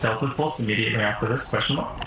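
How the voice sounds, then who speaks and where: Give me a quiet, dry-humored man from Australia. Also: on the low side, very fast. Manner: quiet, dry joking delivery. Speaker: game player. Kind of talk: casual voice chat while gaming. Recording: roomy, distant mic, loud background noise.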